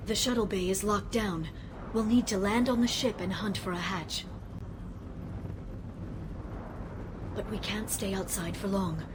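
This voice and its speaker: A woman's voice: medium pitch, steady tone, normal speed, background noise, lightly acted. An actress playing a determined, guilt-burdened alien woman in a video game, speaking in an earnest, clipped, serious way. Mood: focused, explaining. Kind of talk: dramatic video game voice acting. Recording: music behind the voice. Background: game soundtrack music.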